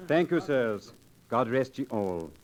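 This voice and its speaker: An actor playing a sad, humble, impoverished knight with earnest, solemn archaic speech in 1940s radio style. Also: male voice, medium pitch, very animated, slow pace, darkish tone. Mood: humble, grateful. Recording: old radio recording, faint noise.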